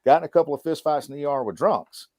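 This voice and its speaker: A man's voice: on the high side, very fast, mellow, no room sound, clean studio sound. A middle-aged American man co-hosting a casual livestream talk, laid-back and colloquial, repeating words for emphasis. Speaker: podcast co-host.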